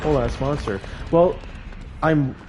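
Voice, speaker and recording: A man's voice: fairly high pitch, unhurried, background noise. A man livestreaming a video game, chatting casually in informal slang and reacting aloud as he plays. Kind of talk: casual gaming stream chat with live reactions. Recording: music playing behind the voice. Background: music.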